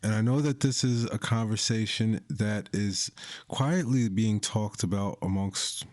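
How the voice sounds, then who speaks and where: A man hosting a casual podcast discussion, speaking in a deliberate, opinionated way. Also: on the low side, a little mellow, no room sound, clean studio sound. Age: young adult to middle-aged. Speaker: podcast host.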